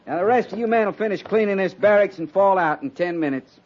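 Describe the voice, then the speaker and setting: Guy playing a sarcastic army sergeant, high voice, ordering men around, muffled old radio drama. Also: fast talker, darkish tone, close to an everyday voice. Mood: commanding, sardonic. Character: gruff, bossy, sardonic cavalry sergeant. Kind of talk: 1950s radio western acting. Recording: muffled 1950s radio recording, background voices.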